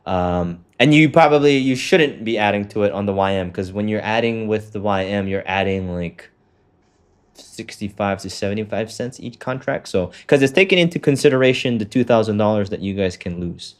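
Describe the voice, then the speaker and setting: A fairly low-voiced man talks a student through a trading platform step by step. His tone is casual and loose, full of fillers, with the occasional swear dropped in. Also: moderate pace. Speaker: trading coach. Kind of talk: casual walkthrough on a call.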